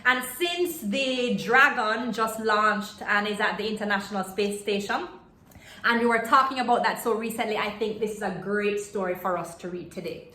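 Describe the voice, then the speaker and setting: A woman reading a children's book aloud in an expressive way, stopping to put questions to young listeners. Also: medium pitch, moderate pace. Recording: roomy, echoey home-style video recording.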